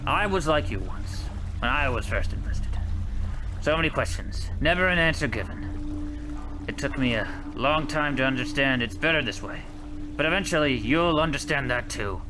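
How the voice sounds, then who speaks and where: An actor playing a seasoned, secretive WWII navy captain in a radio drama, speaking in a measured, commanding, probing way. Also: male voice, mid-range voice, animated, natural voice, rough recording. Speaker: ship captain. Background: music.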